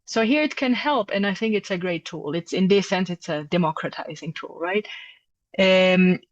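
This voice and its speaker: A woman's voice: on the low side, moderate pace, no echo. A woman, a journal editor, answering interview questions in a thoughtful, hesitant way with many fillers. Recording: dull, dry lo-fi audio.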